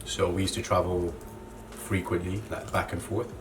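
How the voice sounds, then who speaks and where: Low-voiced Zambian-raised man, flat delivery. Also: moderate pace. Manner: relaxed, with frequent "you know" fillers. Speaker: clothing brand co-founder. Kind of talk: casual interview monologue. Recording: distant mic with clear background noise.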